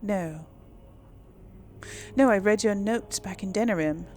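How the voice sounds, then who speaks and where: A woman doing casual, chatty video game commentary with a creaky edge to her voice. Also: medium pitch, takes it slowly, darkish tone, slight background noise. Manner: chatty, talks to the audience. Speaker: gaming channel host playing through a role-playing game. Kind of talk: casual gameplay commentary with in-character dialogue read-alongs.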